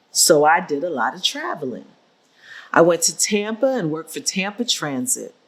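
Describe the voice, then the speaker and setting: Woman acting feisty elderly grandmother, husky, slow, lowish, plainspoken, wry stage storytelling. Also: full character voice. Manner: emphatic, plainspoken. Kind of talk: dramatic staged reading of oral history.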